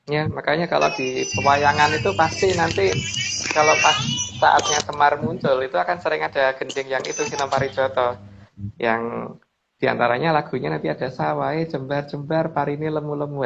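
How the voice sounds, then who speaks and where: A man's voice: on the high side, fairly even intonation, normal speed, vocal fry, fairly bright tone, slight background noise. A man casually explaining old legends over slides, rambling with restarts and often turning to a woman reading the slides. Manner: rambling, with restarts and addressing the reader as Mbak. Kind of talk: casual spoken commentary on slides. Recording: dull lo-fi recording.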